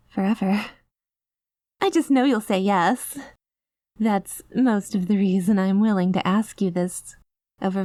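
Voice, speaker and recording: High-voiced obsessive yandere girlfriend, acted by a woman. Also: a little mellow, no room sound, studio quality, lightly acted. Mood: adoring, giddy. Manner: gushing, rambling, with nervous giggles and breathy asides. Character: obsessive reformed yandere girlfriend, doting, anxious and clingy. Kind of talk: ASMR roleplay, acted voicemail monologue.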